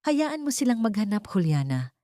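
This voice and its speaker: A woman voicing a wealthy young man who speaks calmly and firmly to protect his sister. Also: medium pitch, moderate pace, no room sound, studio quality, voice pushed up, natural voice. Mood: cold. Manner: calm, commanding, gentle toward his sister.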